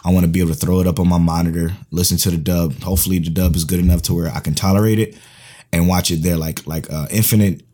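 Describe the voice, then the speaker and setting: A man co-hosting a casual podcast, laid-back and slangy, his voice going hoarse. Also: deep voice, steady tone, quick pace, dark tone. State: losing his voice. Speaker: podcast co-host.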